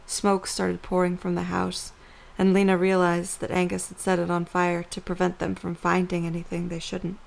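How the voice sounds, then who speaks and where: A woman's voice: on the low side, monotone, moderate pace, gravelly. A woman reading scripted story narration for an audio drama as the narrator.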